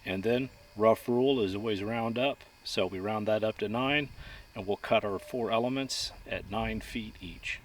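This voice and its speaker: Calm low-voiced man giving practical instructions. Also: moderate pace. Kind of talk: step-by-step how-to instruction.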